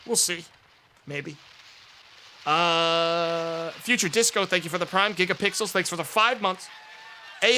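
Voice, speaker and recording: Raspy, hoarse young guy streaming; bright, slightly high voice, rambling and offhand, fading into mumbles. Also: slight background noise. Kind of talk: casual live-stream chat, thanking subscribers.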